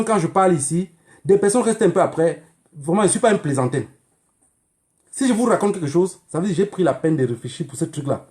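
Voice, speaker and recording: A man's voice: sits high, mellow. A man with a Cameroonian accent addressing viewers in a political livestream monologue, emphatic and admonishing, repeating phrases and throwing in rhetorical questions. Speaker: online activist livestreamer.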